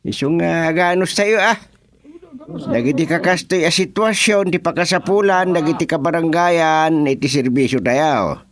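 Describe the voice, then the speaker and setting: A man's voice: on the high side, unhurried. A man playing an authoritative, practical village chairman running a meeting in a radio drama comedy. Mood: businesslike.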